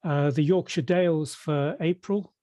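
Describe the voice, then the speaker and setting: An older man from Merseyside, speaking without a regional accent, telling his story in an interview in a measured way, full of fillers and restarts. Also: a little high, mellow, no echo, clean studio sound. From Merseyside, England. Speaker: interview guest. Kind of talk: conversational interview.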